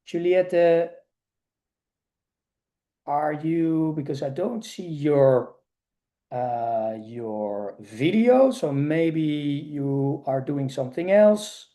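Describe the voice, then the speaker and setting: A man hosting an online meeting over a video call, speaking casually and haltingly, often repeating words. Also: a little high, animated, mellow. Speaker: meeting host.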